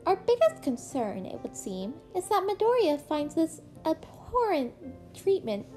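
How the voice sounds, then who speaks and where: A woman's voice: extremely high pitch, big pitch swings, unhurried, mellow, faint music behind, voice pushed up, heavily acted. A woman voicing a small animal school principal in a story, protective and commanding, with emphatic, formal delivery. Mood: fiercely protective.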